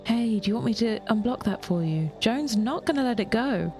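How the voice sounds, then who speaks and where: A woman's voice: fairly high pitch, expressive, moderate pace, darkish tone, soft background music, voice pushed up. A woman playing a wry, playful female office colleague who teases but cares, in an audio drama. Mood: concerned. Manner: playful, ironic.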